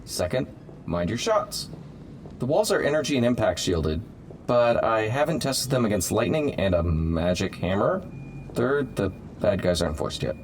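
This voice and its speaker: A man acts as a touchy superhero scientist in an audio drama. He is earnest and defensive, with a lively, swinging pitch over faint noise. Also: moderate pace. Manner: defensive, explanatory.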